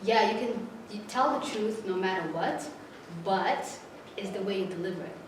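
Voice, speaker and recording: A woman from southern Somalia makes her point emphatically in a group discussion, often checking in with the others. She is far from the mic in a resonant room. Clear background noise sits under her voice. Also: mid-range voice, moderate pace. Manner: emphatic, keeps asking right?. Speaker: workshop participant. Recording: echoey room, from far off, noisy.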